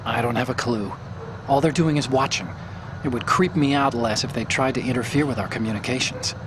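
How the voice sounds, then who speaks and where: An actor playing an eager male hacker who explains things quickly over a radio link. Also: mid-range voice, noisy background, lightly acted. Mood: explaining. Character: chatty male tech support hacker.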